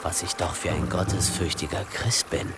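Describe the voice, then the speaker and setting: Deep-voiced, mocking pilgrim. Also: male voice, steady tone, moderate pace, creaky, heavy background noise. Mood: sardonic. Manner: sardonic, teasing. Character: brusque, mocking male pilgrim with secret aims. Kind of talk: radio drama acting.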